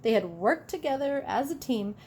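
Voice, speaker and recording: A woman reading a children's story aloud expressively, in an animated way, pausing for asides to young listeners. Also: a little high, slight background noise. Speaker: teacher reading a picture book aloud.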